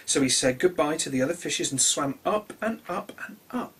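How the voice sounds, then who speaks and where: Expressive, highly animated male storyteller. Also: normal speed, slightly rough. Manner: expressive, simple address to children. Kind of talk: children's storybook reading.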